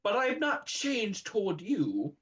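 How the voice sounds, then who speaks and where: A man's voice: high-pitched, huge pitch swings, unhurried, fairly bright tone, heavily acted. A man playing a cold, indignant young fiancé defending himself curtly in a radio play. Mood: defensive, curt. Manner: curt, indignant.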